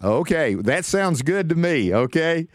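A man's voice: on the high side, big pitch swings, measured pace, no echo, studio quality. A man hosting an interview show, speaking as a radio presenter to listeners and guests. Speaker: host.

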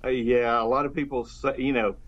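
A man's voice: mid-range voice, flat delivery, brisk. A pastor speaking earnestly and fervently about faith in a casual conversation, often restarting his sentences. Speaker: pastor, guest. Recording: dull, lo-fi sound.

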